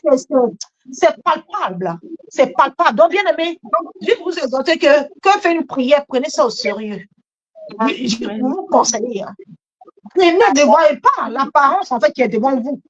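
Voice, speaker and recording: A woman exhorting as a church host in an animated charismatic style, emphatic and often repeating her phrases, on a dull lo-fi recording. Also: high-pitched, moderate pace.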